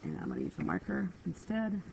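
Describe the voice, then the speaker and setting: A woman's voice: lowish voice, huge pitch swings, fast, vocal fry, dark tone. A woman teaching a math lesson over a screen recording, talking step by step in a patient, apologetic way, a little stuffy from a cold. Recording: dull lo-fi screen recording with faint hiss.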